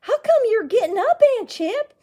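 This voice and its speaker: A woman's voice: very high voice, big pitch swings, normal speed, warm tone, voice pushed up. A woman voicing a curious, earnest young boy in a storybook, with a wondering, eager manner. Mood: puzzled.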